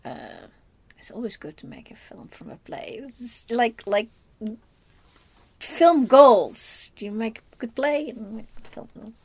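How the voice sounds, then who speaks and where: A woman with a Dutch accent giving a casual film review, speaking haltingly as she thinks aloud. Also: a little high, sing-song, gravelly, a little mellow, husky, no echo. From Netherlands.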